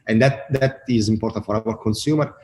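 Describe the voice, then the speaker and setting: Italian-accented man, halting interview talk. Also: fairly low pitch, darkish tone, slight background noise. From Italy. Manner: halting, many fillers and restarts. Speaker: brand marketing guest.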